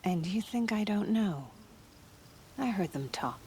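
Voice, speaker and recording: A woman plays a long-suffering wife in a fantasy game, forgiving but knowing. She speaks slowly with a lively, swooping melody, and a faint rumble sits behind her. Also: lightly acted. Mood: pleading. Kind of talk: video game voice acting.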